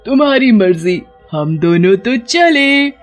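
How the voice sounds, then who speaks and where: A woman voicing a sly, hungry female witch in an animated story, gloating and taunting. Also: fairly high pitch, slow pace, faint music behind, voice pushed up. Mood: scornful, bold. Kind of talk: animated Hindi moral story.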